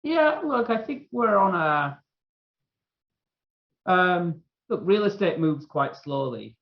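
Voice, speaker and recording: Slow, measured man on a call. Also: high voice, sing-song, creaky, lo-fi sound. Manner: measured, starts with "look". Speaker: panelist from a real-estate firm. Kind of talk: webinar panel answer.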